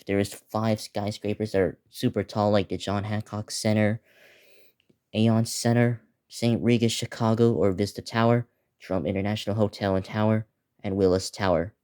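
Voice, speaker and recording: A teenage male video creator giving a casual, unscripted preview, talking in a halting, repetitive way as he lists things. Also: fairly low pitch, darkish tone, clear, resonant tone. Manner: halting, repetitive, listing place names.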